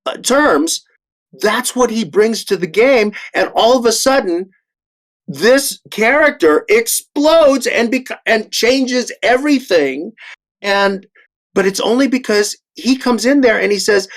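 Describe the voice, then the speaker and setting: A man's voice: high-pitched, expressive, slow. A man talks as a panelist in a casual discussion. He is emphatic and often repeats a phrase to press his point, starting again as he builds it.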